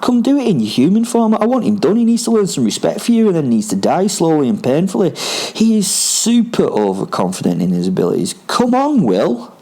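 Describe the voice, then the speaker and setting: A grumpy, bloodthirsty spirit werewolf from a story, voiced by a man. The pitch is lifted and swoops upward as he swears and goads with heavy emphasis. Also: very animated, brisk, mellow, exaggerated voice. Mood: furious, urging.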